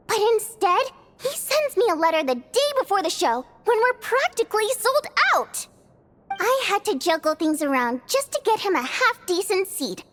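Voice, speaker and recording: Very high-pitched, theatrical young lady. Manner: expressive, dramatic, complaining. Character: lively, theatrical young female stage performer, spirited and indignant. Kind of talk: video game voice acting.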